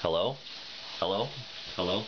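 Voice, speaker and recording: Man, extremely deep voice, casual hands-on review, tentative thinking aloud, clearly noisy recording. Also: animated, unhurried. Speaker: product reviewer. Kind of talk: casual unboxing and demonstration talk. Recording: dull lo-fi recording, noisy with clicks and beeps.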